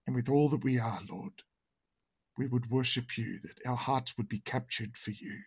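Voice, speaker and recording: A man with a hoarse, croaky voice, leading an online worship service, reading a hymn and praying in a slow, earnest and reverent manner. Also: mid-range voice, steady tone, a little mellow, dry recording.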